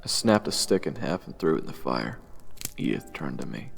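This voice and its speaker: A man's voice: very deep voice, sing-song, moderate pace, vocal fry, full character voice. A man playing a brooding first-person narrator of a fantasy story, in dramatic scripted narration. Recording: long reverb over soft music.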